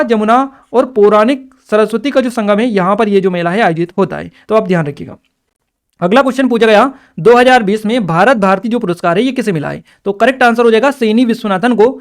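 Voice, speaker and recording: A man explaining exam questions step by step as a teacher, addressing students directly and checking they follow. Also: high voice. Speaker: exam-prep teacher and channel presenter.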